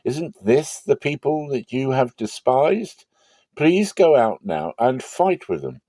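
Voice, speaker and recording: A man's voice: mid-range voice, sing-song, slow, warm tone, dry recording, pitched above the performer's own voice. Man acting a scornful, taunting ancient city official, Zebul.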